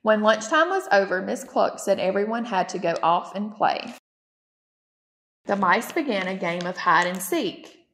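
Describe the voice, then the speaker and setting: A woman's voice: medium pitch, animated, unhurried, fairly bright tone. A woman reading a children's picture book aloud as a storytime narrator. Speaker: storytime reader.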